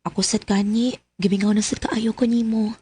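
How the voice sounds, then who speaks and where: An actress playing a sweet, lovelorn young student and obedient niece, with a Cebuano Filipino accent in radio drama style. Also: female voice, medium pitch, steady tone, moderate pace, a little mellow, breathy edge. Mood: tender, sweet.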